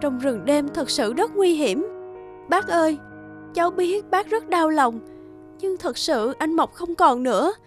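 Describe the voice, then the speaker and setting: Animated devoted young village woman, very high, earnest, pleading, music behind. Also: measured pace. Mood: sorrowful, earnest. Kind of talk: animated folk tale voice acting.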